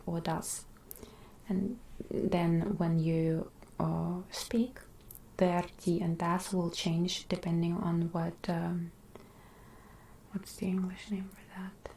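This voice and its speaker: A woman whose first language is Russian, chatting casually with viewers on an ASMR stream, drifting between whispers and soft talk. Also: on the low side, roomy sound.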